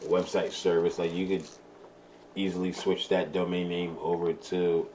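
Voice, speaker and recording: A man with a fairly low voice speaks in casual conversation. Some background room noise sits behind him. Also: flat delivery, moderate pace, warm tone. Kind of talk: casual chat.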